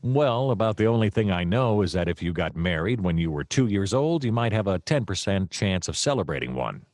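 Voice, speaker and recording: An actor playing a wry, easily distracted private detective, narrating in a long-winded deadpan comic noir style. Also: male voice, sits low, fairly quick, no echo, studio quality. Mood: grumbling, wry.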